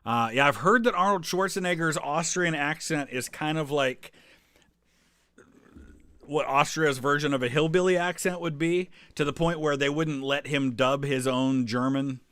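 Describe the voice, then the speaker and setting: A man's voice: on the high side, moderate pace, clean tone, no room sound. Rambling casual man on a dry mic. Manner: relaxed, rambling, many ums and restarts. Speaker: history channel host answering live chat. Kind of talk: casual live stream chat over travel photos.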